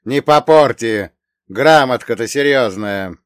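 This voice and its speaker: A man's voice: medium pitch, animated, takes it slowly, no echo, clean studio sound. A man voicing a self-assured young nobleman, the wry hero of a historical audiobook, with emphatic delivery. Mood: ironic, brusque. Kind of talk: acted audiobook dialogue.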